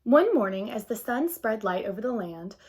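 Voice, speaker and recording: A woman reads a children's storybook aloud. Her voice sits a little high, and she takes her time, gentle and expressive, slipping between narration and casual comments for her young listeners. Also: normal speed. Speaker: storybook reader. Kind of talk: picture book read-aloud with casual asides to young listeners.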